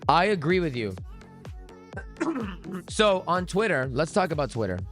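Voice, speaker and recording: A man hosting a casual trading live stream, hoarse after losing his voice, talking in slangy, profane bro-talk with low energy. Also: big pitch swings, a little slow, fairly bright tone, soft background music. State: hoarse, lost voice. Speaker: livestream trading host reading chat.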